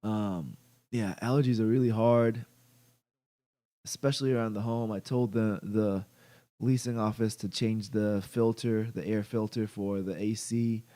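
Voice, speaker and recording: Stuffy-nosed man, slow halting host. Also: lowish voice, steady tone, warm tone. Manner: halting, many fillers and restarts; greets chat viewers by name. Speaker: gaming channel host. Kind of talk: casual livestream chat.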